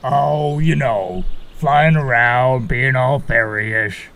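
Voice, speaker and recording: A man's voice: mid-range voice, slow pace, creaky, fairly bright tone. A man voicing a carefree, cagey marsh fairy guide in an audio drama, talking in a breezy, casual way. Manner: breezy, casual, a bit evasive.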